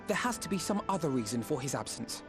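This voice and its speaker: An actor playing an earnest, courteous young hero in a video game, speaking in a formal, measured and reassuring way. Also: male voice, on the high side, sing-song, music in the background.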